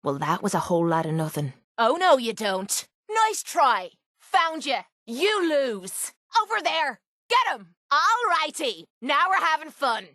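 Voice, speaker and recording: A scrappy, hot-tempered young Irish fighting woman for a video game. Her voice sits on the high side, and she talks bluntly and cockily, with a salty tongue. The sound is very dry, with no room around it. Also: very animated, measured pace, bright, forceful tone, no echo, full character voice. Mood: taunting, combative. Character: brash, foul-mouthed young female cage fighter, tough and hot-tempered. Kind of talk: video game companion voice lines.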